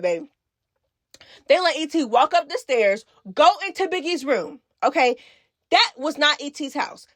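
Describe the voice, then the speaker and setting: A woman's voice: high-pitched, a bit bright, no room sound, clean studio sound. A woman giving casual, opinionated commentary as a recap host, emphatic and slangy, often repeating herself for effect.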